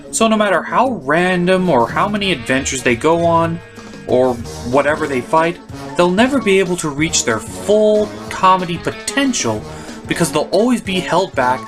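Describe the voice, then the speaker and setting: A man's voice: a little high, animated, normal speed. A man giving a scripted, opinionated comedic review as a cartoon critic and host. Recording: music behind the voice.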